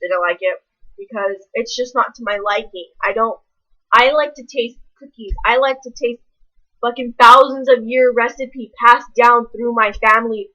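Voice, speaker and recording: A woman's voice: on the high side, moderate pace, sharp tone, muffled recording. A young woman, ranting casually to camera in a blunt, rambling way full of swearing. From American South. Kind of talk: casual vlog rant.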